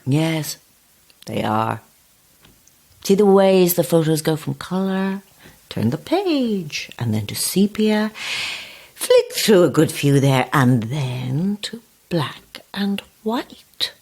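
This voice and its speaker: An actress playing a sly, sweetly menacing ancient old woman in a horror audio drama, husky and gravelly, with slow, relishing delivery. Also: low-pitched, sing-song, a little mellow, heavily acted. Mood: sweetly sinister. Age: older. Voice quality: husky, gravelly, sometimes hissing whisper. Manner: slow, relishing, theatrical, condescending endearments.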